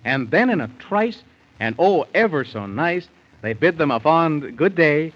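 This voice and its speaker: Male storyteller for vintage children's radio, lively swooping delivery, highish pitch, folksy rhymes. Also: unhurried. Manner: theatrical, folksy, sing-song rhymes. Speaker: storyteller narrator. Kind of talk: rhyming 1930s radio bedtime storytelling. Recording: dull 1930s radio recording.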